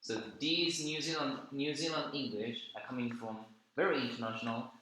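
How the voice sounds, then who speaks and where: A man giving a class presentation, halting and repeating himself. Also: mid-range voice, steady tone, bright, forceful tone, a little hiss or hum. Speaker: student presenter. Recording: very echoey room.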